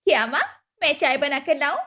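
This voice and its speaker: Brief: woman playing a petty young storybook girl, very high, sulky and demanding. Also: fairly quick, bright, forceful tone. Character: spoiled, petty young sister-in-law.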